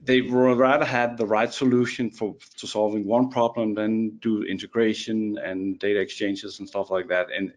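A man with a Danish accent speaks in a slightly low voice as a guest in a relaxed podcast conversation. He talks haltingly, repeating words and restarting sentences as he goes. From Denmark. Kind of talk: casual podcast chat.

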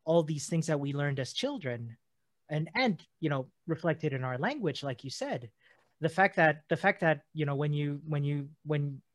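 A man's voice: on the high side, animated, no room sound. Earnest man with a dry, close sound. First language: not German. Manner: earnest, halting with many restarts. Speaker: podcast co-host. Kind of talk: conversational podcast discussion.

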